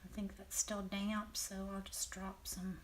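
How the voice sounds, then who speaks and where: A woman with a Southern American accent and a raspy, half-whispered voice, calmly talking through a painting demonstration and often clearing her throat. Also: mid-range voice, a little hiss or hum. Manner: unhurried, thinking aloud while painting, frequent throat clearing. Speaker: painting teacher.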